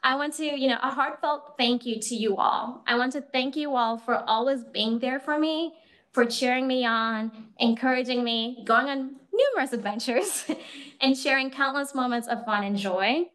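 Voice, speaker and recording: A woman's voice: high voice, normal speed, a bit bright. A young woman with a Kenyan accent, giving a thank-you speech at her thesis defense to a room with an audience and laughing often between phrases. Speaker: PhD candidate.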